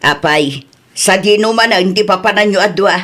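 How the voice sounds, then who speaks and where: A woman's voice: medium pitch, moderate pace, a bit bright, natural voice. Radio drama matriarch, played by a woman: strict older Ilocana, mid-range voice, scolding, out of patience.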